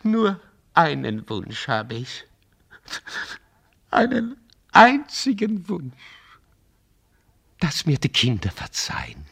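An actor playing an anxious, guilt-ridden old father in a radio play, halting and repetitive, with outbursts. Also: fairly high pitch, wildly swooping pitch, gravelly, fairly bright tone, heavily acted. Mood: despairing, self-blaming.

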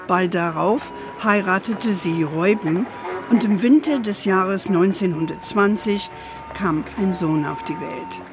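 Slow-speaking storytelling grandmother. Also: medium pitch. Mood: measured, wistful. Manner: measured, storybook narration. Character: gentle playful old grandmother telling family history at bedtime. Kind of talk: audio drama storytelling. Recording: music under the voice.

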